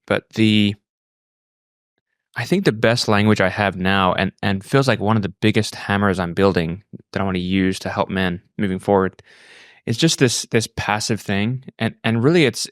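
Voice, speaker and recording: A man in his thirties with an Australian accent, telling his personal story reflectively and haltingly, repeating words as he thinks. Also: lowish voice, steady tone, dry recording, studio quality. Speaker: podcast guest.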